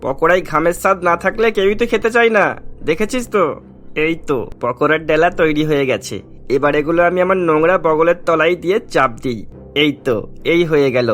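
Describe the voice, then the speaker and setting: A man's voice: on the high side, a little fast, fairly bright tone, soft background music, lightly acted. A sly, gloating street vendor from a cartoon, acted by a man. He talks in a brazen, dismissive way, proud of himself and without any shame. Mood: gleeful, sly. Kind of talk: Bengali cartoon voice acting.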